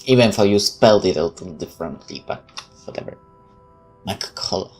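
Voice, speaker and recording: Low-voiced sarcastic male let's player. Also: lively intonation, normal speed, darkish tone, soft background music. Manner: sarcastic, interjecting quips. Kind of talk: game playthrough, reading text aloud with joking asides.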